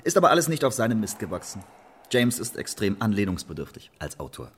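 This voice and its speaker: A man's voice: fairly low pitch, big pitch swings, quick pace, a little hiss or hum. An actor in a radio play voicing a quarrelsome, sarcastic playwright accused of murder, arguing his innocence in repetitive phrases. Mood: dismissive, arguing.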